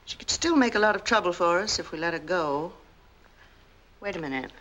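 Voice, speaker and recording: Actress as cold scheming matriarch, noisy old film. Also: female voice, fairly low pitch, lively intonation, brisk, close to an everyday voice. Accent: American. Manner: commanding, curt. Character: cold, scheming, bossy mother running a family swindle. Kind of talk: 1940s film noir acting.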